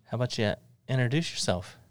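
A man's voice: medium pitch, animated, brisk, no room sound. A middle-aged man hosting a casual interview podcast in an office studio, rambling a little with lots of fillers. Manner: rambling, friendly, many fillers. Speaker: podcast host.

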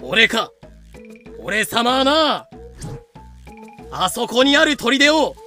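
A man's voice: very high-pitched, very animated, very slow, sharp tone, full character voice. An actor playing a pompous, suspicious male fort guard captain who blusters and domineers in an audio drama. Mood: haughty, suspicious. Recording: music bed behind voice.

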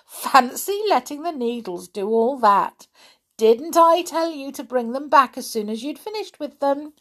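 Woman reader as an older village woman, chuckling, lightly scolding, high and lively. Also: measured pace.

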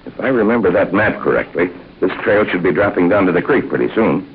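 A calm, reassuring uncle in a vintage radio adventure. His voice is lowish and measured, with the narrow sound and faint noise of an old broadcast. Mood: measured, observant. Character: steady, sensible grown uncle leading young adventurers. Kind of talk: old-time radio adventure serial acting. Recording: tinny, faintly noisy old radio recording.

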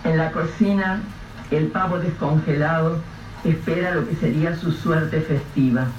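A man narrating a radio drama in a measured, grave storytelling voice. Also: on the high side, steady tone. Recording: echoey, distant, dull lo-fi with faint hiss.

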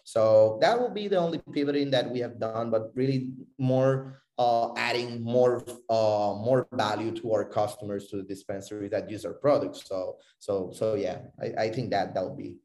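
Low-voiced Puerto Rican man, echoey room. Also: normal speed, hardly any pauses, a little mellow. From Puerto Rico. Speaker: interview guest, tech company CEO. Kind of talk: conversational interview answers about own business. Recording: roomy, echoing voice recording.

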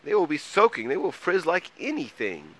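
A man's voice: fairly high pitch, wildly swooping pitch, moderate pace, a bit bright, pitched above the performer's own voice, exaggerated voice. A man voicing a vain, fussy, fretful hairy troll in a children's story, with whiny, dramatic complaining.

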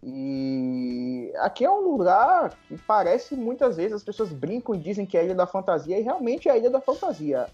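A man from Salvador, Brazil, talking as a guest on a podcast in a relaxed, hesitant way, often restarting his sentences. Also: sits high, moderate pace, hardly any pauses, husky, highs cut off, faint music behind. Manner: hesitant, many restarts and fillers. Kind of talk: casual chat.

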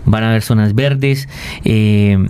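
A man's voice: lowish voice, fairly even intonation, slow, a little mellow, clean tone. A Colombian man explaining technical matters informally as an interview guest, hesitating and repeating words as he goes. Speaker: interview guest, waste treatment expert.

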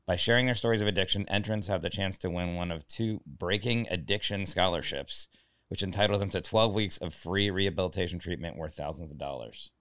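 A man's voice: low voice, brisk, fairly bright tone, dry recording, studio quality. A man co-hosting a podcast, chatting casually and sometimes reading items aloud. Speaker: podcast co-host.

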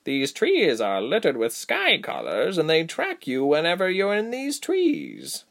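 Man putting on a raised-pitch, commanding beast woman. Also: huge pitch swings, unhurried, gravelly, fairly bright tone, heavily acted. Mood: blunt, commanding. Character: commanding female beast warrior, protective, blunt, growling. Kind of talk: audiobook character voice.

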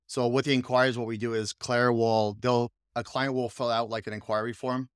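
A man's voice: mid-range voice, flat delivery, brisk, clean tone, no echo, clean studio sound. A man hosting a casual podcast, talking up his assistant in an enthusiastic, rambling way with frequent restarts. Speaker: podcast host, coach and business owner.

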